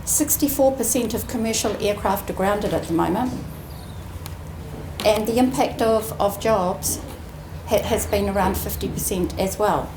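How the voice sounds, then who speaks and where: A woman giving a prepared ceremony speech as an executive, measured and earnest with deliberate emphasis. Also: medium pitch. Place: indoor ceremony room. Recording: roomy echoing venue, faint background noise.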